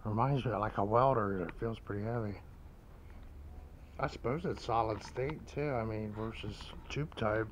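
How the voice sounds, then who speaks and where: A man giving casual walk-through commentary as a vlogger, rambling and musing aloud in a low-key way. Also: normal speed. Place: large indoor antique mall, walking around. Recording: background noise, store ambience.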